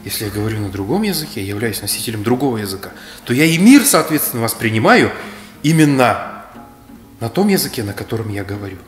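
A man in his thirties from Kalmykia, speaking as a history speaker in a measured, lecturing way, seated in a bright indoor room. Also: mid-range voice, sing-song. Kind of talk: reflective explanatory talk. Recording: roomy echo, faint music.

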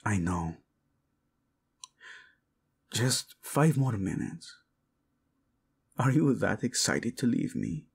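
A man's voice: medium pitch, lively intonation, takes it slowly, long pauses, heavily acted. A man playing a possessive, devoted prince in a romantic audio roleplay, murmuring formal words with Spanish endearments to his wife. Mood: grumbling. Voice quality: husky, gravelly, breathy in places.

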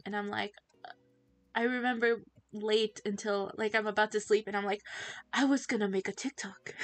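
A woman's voice: fairly high pitch, fairly bright tone. A teenage girl chatting casually to viewers while playing a game, giggly and easily distracted. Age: teens. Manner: chatty, giggly, self-distracted, quick to laugh. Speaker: streamer. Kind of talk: casual livestream chat while gaming.

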